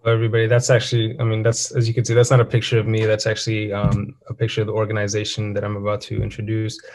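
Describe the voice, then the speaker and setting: A young man introducing himself on a student panel over a video call, steady and even with frequent fillers. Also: lowish voice, rapid-fire. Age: young adult. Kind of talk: casual self-introduction.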